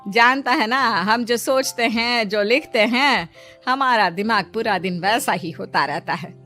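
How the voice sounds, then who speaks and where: A woman's voice: on the high side, unhurried, bright, forceful tone, soft background music. A woman voicing a worried, scolding middle-aged mother in a horror cartoon story, concerned and reproachful.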